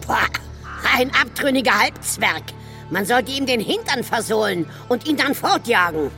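A woman as a creaky, hot-headed dwarf. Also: fairly high pitch, very animated, unhurried, bright, forceful tone, faint music behind, heavily acted. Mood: scornful, threatening. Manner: scornful, blustering. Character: gruff, hot-headed, grumbling male dwarf warrior. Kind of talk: German children's audio drama.

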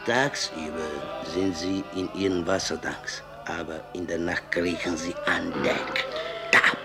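An actor playing a hard-drinking, spooked sailor telling an eerie yarn in a theatrical, colloquial way, in a radio drama. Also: male voice, deep voice, fairly even intonation, unhurried, loud music behind the voice. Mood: indignant, uneasy.

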